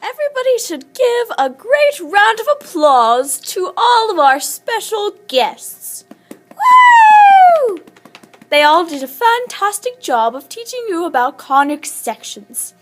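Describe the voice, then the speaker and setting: Girl doing a super high cheery puppet host, swoops all over, takes her time. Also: wildly swooping pitch, slow pace, faint music behind, exaggerated voice. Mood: excited, cheery. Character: excitable female sock puppet show host. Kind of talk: playful presenting to an audience.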